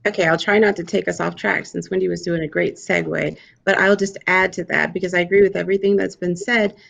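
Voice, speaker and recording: A woman's voice: on the low side, steady tone, fast, gravelly, a bit bright, lo-fi sound. A woman giving thoughtful remarks as a panelist in a corporate discussion.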